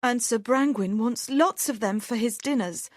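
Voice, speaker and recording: A man's voice: moderate pace, dry recording, studio quality. A man doing a tearful young peasant girl. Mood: worried. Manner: high, pleading, tearful. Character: frightened twelve-year-old peasant girl. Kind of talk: storybook reading.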